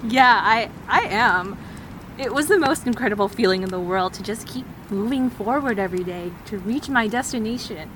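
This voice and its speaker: Woman acting calm steady survivor in audio drama, plain reassuring talk, mid voice, noisy background. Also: mid-range voice, normal speed, close to an everyday voice. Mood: wistful, open. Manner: matter-of-fact, reassuring. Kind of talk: naturalistic audio drama dialogue.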